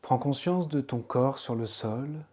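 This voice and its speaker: Male yoga nidra guide, medium pitch, soft warm timbre, calm instructional delivery with spacious pauses. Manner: slow, soothing, unhurried instruction with pauses. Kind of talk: guided relaxation meditation.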